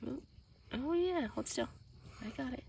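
A woman's voice: sits high, animated, measured pace, vocal fry, warm tone, a little hiss or hum. A woman vlogging casually outdoors on her farm, laughing often and explaining things to her viewers. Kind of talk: casual family chat.

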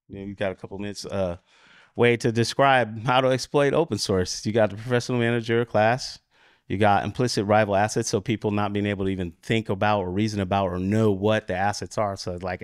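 A man with an American accent giving an informal, explanatory conference talk, halting as he searches for words and often checking in with "right?". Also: on the low side, smooth, no echo, clean studio sound. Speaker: conference speaker. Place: conference room at a lectern.

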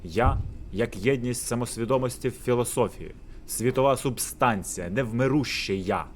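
A man's voice: medium pitch, normal speed, slight background noise, lightly acted. A man voicing a pompous, drunken virtuoso musician who lives in a doghouse and philosophizes grandly. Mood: grandiose.

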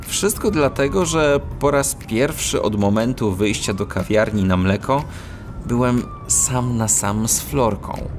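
A man playing an earnest, bashful cat police detective, narrating and acting in a children's audio drama. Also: mid-range voice.

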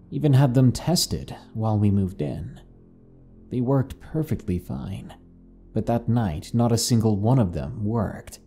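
A man narrates horror stories with grave deliberation. His voice is mellow and dark, slightly low, and very unhurried, with soft music playing behind it. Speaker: narrator and host. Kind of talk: scripted horror story narration.

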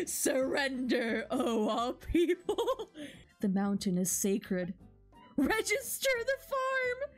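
Giggly high-pitched woman streaming a game. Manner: giggly, laughs through her words. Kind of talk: reading game dialogue aloud with casual commentary. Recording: music behind the voice. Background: video game music.

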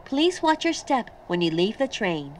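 Woman doing recorded train announcements, real slow and clear, highish voice, slight train hum. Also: very animated. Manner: measured, clearly enunciated.

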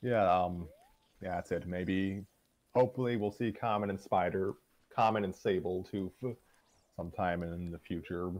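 A man in his twenties chatting casually as a show host giving an update, halting and wry, often restarting his sentences. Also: sits low, fairly even intonation, darkish tone. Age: 20s. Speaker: host of a story-reading voice show. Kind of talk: casual chat, project update.

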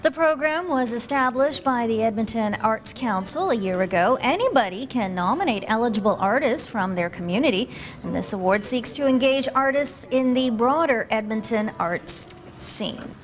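A woman anchoring a television news segment in a studio, reading in a smooth scripted way. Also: a little high, expressive, normal speed, faint music behind. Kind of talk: news presenting.

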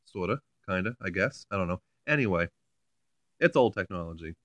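Guy w a lowish smooth voice, rambling casual demo, pretty dry sound. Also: expressive, a little fast, a bit bright, dry recording. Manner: rambling, informal, mildly amused. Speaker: hobbyist video maker. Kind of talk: casual hands-on demo chat.

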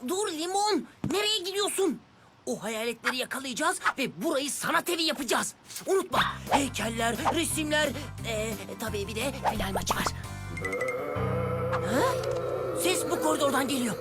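A woman voicing an excitable, bossy young girl in a dubbed cartoon. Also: high-pitched, moderate pace, over loud music. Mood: determined. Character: excitable young girl Zeytin, bold and eager. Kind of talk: cartoon dubbing.

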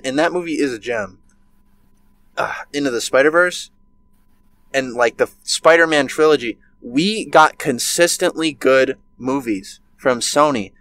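A man's voice: a little high, a bit bright. Profane ranting young man. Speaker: podcast co-host. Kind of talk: casual chat. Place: bedroom.

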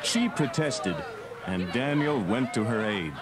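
A man's voice: mid-range voice, lively intonation, measured pace, over a noisy crowd. A man reading scripted narration for a read-along children's story. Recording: narration from an old cassette. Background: loud music.

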